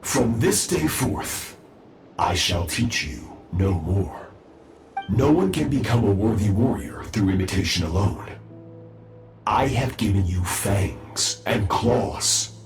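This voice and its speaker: An actor playing a cold, imperious armored abyssal master in a video game, with solemn, grandiose pronouncements. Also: male voice, fairly low pitch, expressive, slow pace. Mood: stern, commanding. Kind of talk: dramatic video game voice acting. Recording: echoing voice over game music.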